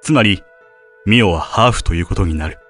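Low-voiced, solemn male researcher played by an actor. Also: fairly quick, a bit bright, soft background music. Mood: grave, uneasy. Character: father and researcher reading his diary, grave, devoted. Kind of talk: dramatic narration of diary entries.

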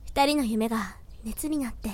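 A woman's voice: fairly high pitch, moderate pace, close to an everyday voice. A woman playing a caring, encouraging senior student of a college drama club in an audio drama. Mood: earnest, encouraging. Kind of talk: fan audio drama acting.

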